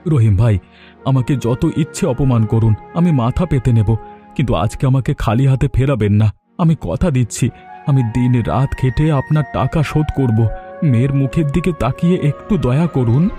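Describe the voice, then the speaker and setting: A man's voice: medium pitch, moderate pace, warm tone, soft background music, natural voice. A man voicing a poor, humble boatman father in a cartoon, speaking with tender, earnest emotion. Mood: humble pleading. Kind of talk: cartoon voice acting.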